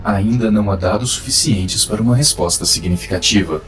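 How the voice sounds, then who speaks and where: A man playing a vast, calm, all-knowing computer in an audio drama, speaking in a measured, impersonal way. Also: on the low side, over music, lightly acted. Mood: impassive.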